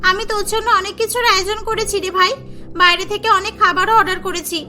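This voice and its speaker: A woman's voice: very high voice, steady tone, fast, sharp tone, exaggerated voice. A woman voicing a boastful rich young woman who dotes on her brother, in melodramatic, emphatic cartoon style with music behind. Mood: fussing, pleading. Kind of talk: melodramatic cartoon story acting.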